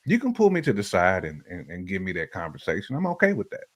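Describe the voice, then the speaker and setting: A man with a deep, low voice talks in a relaxed conversational style. He makes his points emphatically, circling back on phrases to drive them home as a guest on a podcast. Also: fast. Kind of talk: casual conversational opinion talk.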